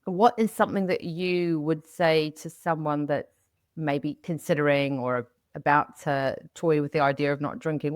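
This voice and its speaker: A woman with a low voice hosts a casual interview podcast. She talks in a chatty, anecdotal way, acting out quoted remarks, in a close, dry recording. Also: no room sound. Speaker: podcast host.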